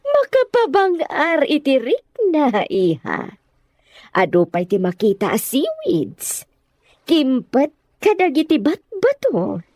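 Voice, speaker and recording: A woman's voice: high voice, huge pitch swings, takes it slowly, darkish tone, no echo, heavily acted. An actress playing a contented, genteel mother in an audio drama, leisurely and admiring in manner. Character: contented, genteel mother talking fondly to her daughter.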